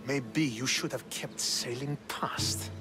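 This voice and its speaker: An actor playing a stern, suspicious Spartan military commander in a video game, with a commanding, distrustful manner. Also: male voice, mid-range voice, takes it slowly, music in the background, natural voice. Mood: suspicious, threatening. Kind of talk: dramatic video game acting.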